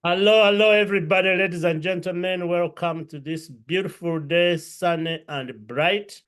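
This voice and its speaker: A man's voice: sits high, steady tone, fairly bright tone, clean tone, faint music behind. Effusive Ugandan man hosting a video podcast. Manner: effusive, drawn-out greetings. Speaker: podcast host. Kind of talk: welcoming interview host. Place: home room with books and a clay pot.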